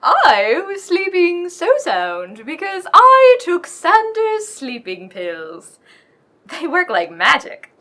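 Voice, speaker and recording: An actress playing a saucy, chiding manor servant in a comic radio mystery, heard off the microphone. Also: female voice, extremely high pitch, huge pitch swings, takes it slowly, bright, forceful tone, exaggerated voice. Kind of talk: old-time comic radio mystery acting.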